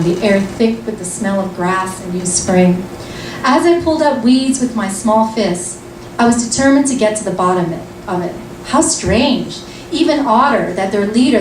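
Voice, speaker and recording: Woman host from Vancouver, chatty and filler-heavy, bright high voice, distant mic in a noisy room. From Vancouver, Canada. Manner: chatty, lots of ums and restarts. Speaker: event host and author. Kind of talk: casual event introduction and book reading. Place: lounge event room, indoors. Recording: roomy lounge, mic far off, noisy.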